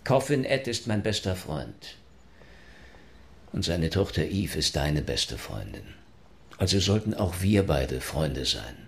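An actor playing a tough, controlled police detective in a crime radio drama, questioning in a measured, insistent way. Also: male voice, low-pitched, big pitch swings, gravelly, slight background noise, exaggerated voice. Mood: firm, probing.

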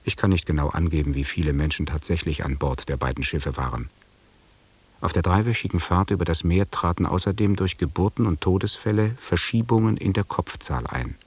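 An actor playing a dutiful, meticulous army lieutenant in a German radio drama, speaking formally and deferentially in a husky voice. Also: male voice, low voice, brisk, recorded from a distance. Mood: formal, reporting.

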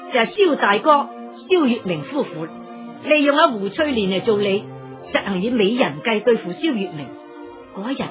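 A Cantonese woman narrates a radio story in an animated, swooping voice that sits slightly high. She is expressive and colloquial, with faint music behind her.

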